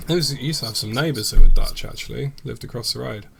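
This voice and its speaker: A young English man streaming a game, chatting with viewers in a relaxed, rambling way full of fillers. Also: mid-range voice, fast, gravelly, a little hiss or hum. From England. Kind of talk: casual stream chat with viewers. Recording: faint background music.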